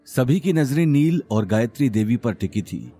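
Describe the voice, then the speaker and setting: Male narrator for serial audio drama: medium pitch, very lively intonation, dramatic scripted read, light music bed. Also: normal speed. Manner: dramatic, expressive.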